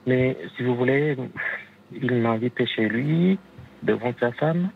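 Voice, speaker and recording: A man in his forties from Asia, for whom French is not his first language, calling a late-night radio show, hesitant and halting with nervous laughs. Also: mid-range voice, creaky, a little hiss or hum. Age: 40s. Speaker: caller. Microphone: telephone. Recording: dull lo-fi phone line into radio studio.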